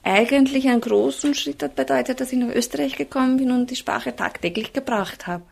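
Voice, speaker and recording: A woman's voice: sits high, a little fast, creaky, a little hiss or hum. A woman teacher whose first language is Hungarian, earnestly explaining in an interview. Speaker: primary school teacher. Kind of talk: interview answers.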